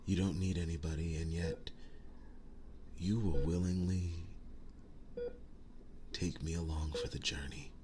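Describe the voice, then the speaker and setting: Casting: male actor for tender wounded werewolf lover, intimate roleplay, deep whispery delivery, earnest pauses, noisy ambient recording. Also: steady tone. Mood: earnest, loving. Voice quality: breathy, whispery low voice. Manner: halting, earnest, soft-spoken with long pauses. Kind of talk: ASMR boyfriend roleplay, intimate acting.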